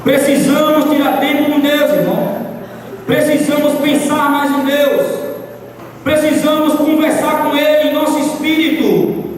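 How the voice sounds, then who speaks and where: Fervent high-voiced preacher in echoey hall. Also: male voice, level tone, a little slow, recorded from a distance. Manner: fervent preaching that swings from loud, high outbursts to low asides. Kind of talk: sermon. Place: large church hall.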